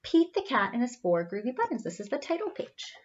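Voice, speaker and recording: Animated high-voiced woman storyteller. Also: brisk, a little hiss or hum. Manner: animated, teacherly, spelling things out for young children. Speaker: read-aloud storyteller for children. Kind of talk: bilingual picture-book read-aloud with singsong refrains. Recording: slightly dull, lo-fi sound.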